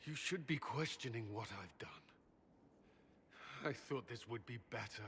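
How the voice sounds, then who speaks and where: A haunted, guilt-ridden soldier. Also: male voice, medium pitch, expressive, a bit bright, long reverb tail, a little hiss or hum. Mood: anguished. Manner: strained, halting, intense. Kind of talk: video game dialogue.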